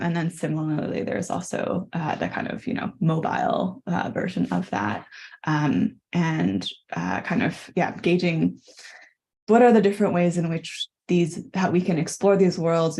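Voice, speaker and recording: Woman presenter, hesitant and filler-heavy, slightly low voice. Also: a little mellow. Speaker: presenting researcher. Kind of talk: online symposium presentation.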